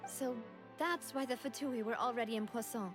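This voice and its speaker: An actress playing a grieving, resolute young noblewoman in a video game, earnest and deliberate. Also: on the high side, over music, close to an everyday voice. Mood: thoughtful.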